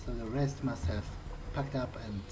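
A man role-playing in character during gameplay, thinking aloud in a measured, musing way. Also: mid-range voice, fairly even intonation, background noise. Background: loud video game music.

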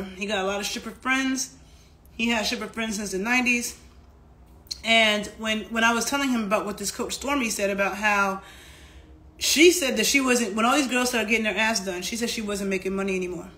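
A woman's voice: mid-range voice, pushed and bright. A woman, talking candidly to a phone camera at home in a chatty vlog. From New York. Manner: candid, chatty, frequent fillers and asides. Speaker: fitness vlogger. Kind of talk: casual talk to camera. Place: indoor room at home.

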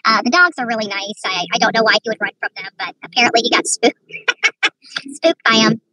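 A woman vlogger giving casual, rambling commentary to her viewers in an earnest, halting way, repeating herself. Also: fairly high pitch, animated, far from the mic, noisy background.

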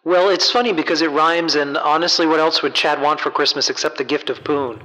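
A man's voice: on the high side, steady tone, brisk, pushed and bright. A man playing an unbothered studio producer with casual, slightly hesitant talk. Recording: long hall reverb.

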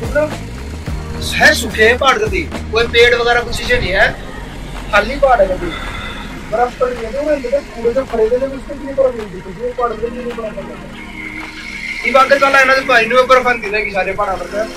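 High-voiced man chatting on a road trip. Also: brisk, over music, rough recording. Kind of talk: casual road-trip vlog talk.

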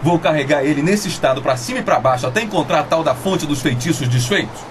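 An actor playing an excitable, indignant sidekick in a Brazilian radio drama, exclaiming and protesting. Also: male voice, a little high, quick pace, natural voice. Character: excitable, indignant male sidekick and loyal friend. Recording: noisy background ambience.

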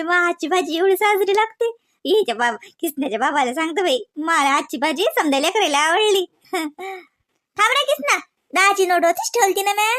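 A doting cartoon village mom, very high and bright, with a Varhadi Marathi accent. She is gullible and proud, gushing in exclamations and repeating her words. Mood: proud, generous. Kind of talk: comedy cartoon dialogue.

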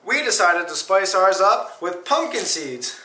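Goofy young man, high bright voice. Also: a little slow, recorded from a distance, a little hiss or hum. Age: young adult. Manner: goofy, silly, deadpan jokes. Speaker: cooking show co-host. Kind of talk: playful cooking tutorial. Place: small home kitchen, indoors. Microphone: camera mic from a distance. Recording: echoey kitchen from off-mic.

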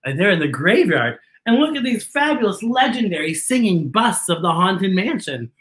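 A middle-aged man reading a picture book aloud to children with theatrical, exaggerated, drawn-out delivery. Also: high voice, big pitch swings, fairly bright tone, reverberant. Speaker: children's storytime host.

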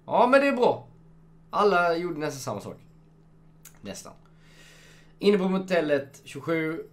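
A man's voice: on the high side, very animated. A young man hosting a let's play, chatting casually, gushing and reading the game's menus aloud at his home setup. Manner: chatty, reads game menus aloud, gushes. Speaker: gamer, channel host. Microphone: desk microphone.

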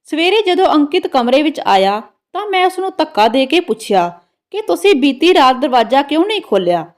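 A woman's voice: sits high, big pitch swings, fairly quick. A woman narrating a scripted first-person story in a dramatic storytelling manner.

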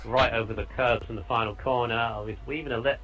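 A man with a mid-pitched voice commentates live on a racing game. His delivery is casual and conversational, following the cars as they go. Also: fairly even intonation, quick pace, low-quality recording.

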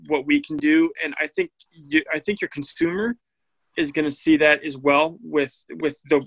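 A man's voice: fairly high pitch, a bit bright, no room sound, poor audio. A man from a bourbon brand team talking on a video call, emphatic and restarting his phrases as he goes. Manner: repeats words as he goes, emphatic. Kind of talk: casual video-call interview.

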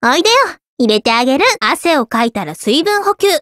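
A woman voicing an easygoing, affectionate teenage schoolgirl in an anime game, with a caring, gently teasing manner. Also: sits high, sing-song, a little fast, no echo, clean studio sound. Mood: teasing, playful. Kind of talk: scripted anime game voice lines.

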